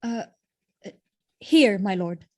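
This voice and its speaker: An actress playing a devoted, innocent noble wife, earnest and pleading, in a Shakespearean audio drama. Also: female voice, mid-range voice, expressive, slow pace, dark tone. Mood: uneasy.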